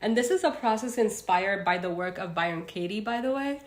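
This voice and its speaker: A woman's voice: mid-range voice, animated, a little fast. A young woman from Egypt hosting a casual self-help livestream, talking loosely with fillers and stopping to answer viewer comments. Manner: chatty, reads out viewer comments, frequent fillers. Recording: roomy echo.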